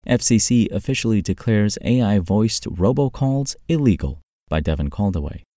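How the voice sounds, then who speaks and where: A man narrating a scripted tech news article as a podcast host, in a clearly articulated, measured read-aloud delivery. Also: on the low side, animated, dark tone, no room sound, clean studio sound.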